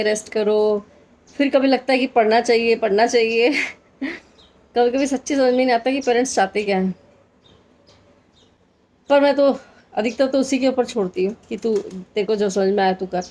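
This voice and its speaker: A woman's voice: mid-range voice, darkish tone. A woman in her thirties from the Hadoti region of Rajasthan, with a mild Hadoti tone, chatting casually in a daily vlog and repeating phrases for emphasis. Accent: Hindi with a mild Hadoti Rajasthani tone. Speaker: vlogger, homemaker.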